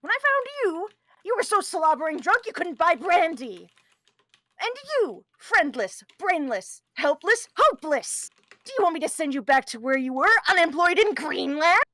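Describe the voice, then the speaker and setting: A woman's voice: very high-pitched, big pitch swings, normal speed, a bit bright, no room sound, full character voice. A woman playing a tiny, imperious Sicilian man who schemes and sneers in a theatrical table read. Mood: scornful.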